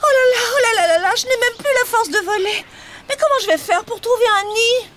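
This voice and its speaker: A woman's voice: very high-pitched, lively intonation, quick pace, heavy background noise. A woman voicing a grumpy, fussy, weary swallow in a preschool cartoon, complaining and demanding in a theatrical way. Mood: exhausted lament. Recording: music behind the voice.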